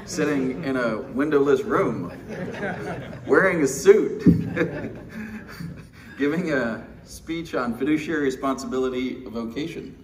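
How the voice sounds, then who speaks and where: A man's voice: medium pitch, slow pace, darkish tone, recorded from a distance. A middle-aged man from Texas giving a wry, self-deprecating conference keynote, full of fillers. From Texas, USA. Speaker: keynote speaker at a pension conference. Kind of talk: live conference speech. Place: large windowless conference room. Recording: conference room, speaker away from the mic, faint room noise.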